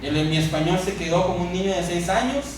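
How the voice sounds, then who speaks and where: A man with a Mexican accent preaching a sermon as a pastor, speaking emphatically with repeated phrases and pauses for effect. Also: high voice, animated. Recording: echoey church hall, distant mic, noisy.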